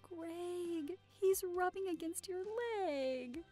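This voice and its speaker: Casting: woman as cheerful Halloween party hostess, welcoming and playful, high voice with a leisurely pace. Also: takes it slowly, dark tone, soft background music. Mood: delighted. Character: cheerful, teasing woman hosting a Halloween party, a devoted friend. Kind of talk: audio roleplay acting.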